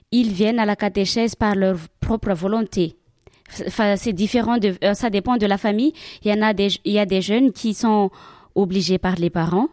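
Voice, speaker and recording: A woman's voice: mid-range voice, warm tone, no room sound, studio quality. A woman from Madagascar whose first language is Malagasy, a religious sister answering interview questions in a hesitant way with many fillers.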